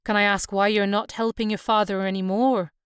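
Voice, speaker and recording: A woman narrator plays a Scottish fishing skipper, a man in his thirties. He is brisk, steady and fair-minded in this storybook dialogue. The voice is clean and close, with a dry sound. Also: medium pitch, clear, resonant tone, no room sound, close to an everyday voice. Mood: measured, probing. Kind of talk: audiobook character dialogue.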